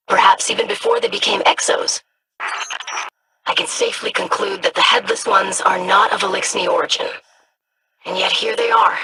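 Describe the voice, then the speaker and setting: A woman's voice: medium pitch, background noise, lightly acted. A woman voicing a formal, scholarly lore investigator. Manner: measured, formal, analytical. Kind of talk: video game voice lines.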